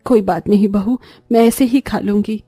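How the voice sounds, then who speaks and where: A woman as a mellow, patient elderly mother-in-law. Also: mid-range voice, steady tone, normal speed, husky, no room sound, clean studio sound, soft background music, voice pushed down, close to an everyday voice. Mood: resigned, consoling. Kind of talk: Hindi cartoon story voice acting.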